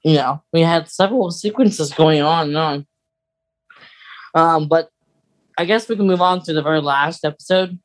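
This is a podcast co-host in relaxed conversation. The voice sits at a medium pitch. The delivery wanders and circles back to correct itself. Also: moderate pace, pauses often. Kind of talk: casual chat.

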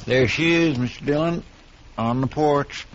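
An actor playing a folksy, deferential deputy sidekick in a radio western. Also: male voice, a little high, unhurried, close to an everyday voice. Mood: anxious. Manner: folksy rustic grammar. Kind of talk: 1950s radio western acting. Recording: muffled, noisy 1950s radio recording.

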